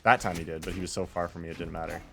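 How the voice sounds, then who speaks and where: Low-voiced man rambling over gameplay. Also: little pitch movement, rapid-fire, gravelly, noisy background. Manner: rambling, self-deprecating, wry. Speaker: player commentating own replay. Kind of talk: casual off-the-cuff gameplay commentary.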